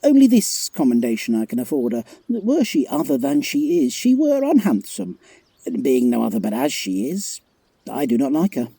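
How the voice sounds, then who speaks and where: A man's voice: mid-range voice, very animated, normal speed, vocal fry, mellow, husky, heavily acted. A man playing a cocky bachelor soldier, wry and mock-serious, in a Shakespearean radio play. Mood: wry, teasing. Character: cocky, bantering bachelor soldier, scornful of love.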